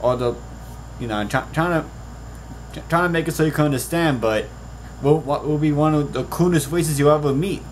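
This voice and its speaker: A man's voice: on the high side, moderate pace, a little hiss or hum, poor audio. A young man with a Puerto Rican accent talking to a webcam in his bedroom, earnest and rambling, often restarting his sentences. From Puerto Rico. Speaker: author. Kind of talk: casual vlog monologue. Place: small bedroom. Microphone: webcam at desk.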